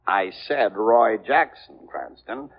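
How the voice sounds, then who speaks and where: An actor playing a gruff, impatient police commissioner in an old radio drama, curt and blustering. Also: male voice, lowish voice, slow, full character voice. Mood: exasperated. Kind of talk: 1940s radio acting. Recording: muffled old radio recording.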